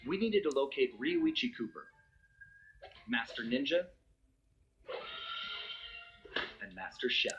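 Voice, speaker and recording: An actor playing a suave raccoon master thief, narrating game cutscenes in a wry, smooth storytelling manner. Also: male voice, medium pitch, sing-song, normal speed, a bit bright, muffled recording, a little hiss or hum. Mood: grave, determined. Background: background music.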